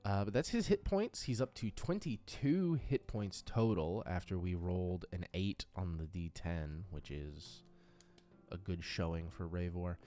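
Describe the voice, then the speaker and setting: Low-voiced man talking slowly over music. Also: lively intonation, dark tone. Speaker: creator and game master of a tabletop RPG audio drama. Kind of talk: casual unscripted commentary while working through character sheets.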